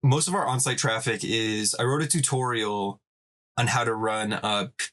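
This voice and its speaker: Stuttering man with a halting delivery. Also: on the low side, a bit bright. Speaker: podcast guest. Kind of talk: casual chat.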